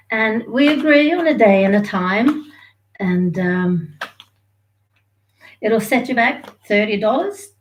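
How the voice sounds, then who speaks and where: A woman's voice: fairly high pitch, a little hiss or hum, low-quality recording. A woman, from Sweden and Australia, giving a chatty, rambling live tarot reading and laughing easily. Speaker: tarot reader.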